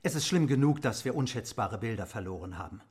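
Husky, formal gentleman art dealer. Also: medium pitch, lively intonation, fast talker. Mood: anxious, formal. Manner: formal, courteous. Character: formal, discreet male London art dealer. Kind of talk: German radio play acting.

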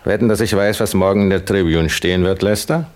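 A man acting a sadistic cop in a noir radio play. His low voice mocks and threatens, over faint recording noise. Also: fairly quick, close to an everyday voice. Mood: mocking menace. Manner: mocking, threatening. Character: menacing, sadistic male police thug taunting a prisoner. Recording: noisy old radio drama recording.

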